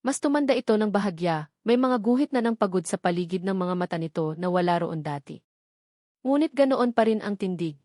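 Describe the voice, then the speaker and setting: A woman's voice: medium pitch, animated, a little fast, darkish tone, dry recording. Woman narrating drama, very dry recording. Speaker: storyteller. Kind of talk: dramatic scripted story narration.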